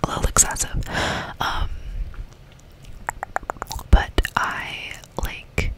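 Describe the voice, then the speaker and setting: An ASMR creator speaking entirely in a soft, breathy whisper, reading aloud or chatting in a relaxed, informal, unhurried way.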